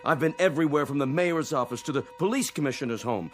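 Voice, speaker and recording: An actor in a radio play voicing an earnest, anxious police officer with an emphatic, troubled manner. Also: male voice, on the high side, fast, natural voice. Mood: anxious, doubting. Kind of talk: dramatic radio play acting. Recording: music bed behind the voice.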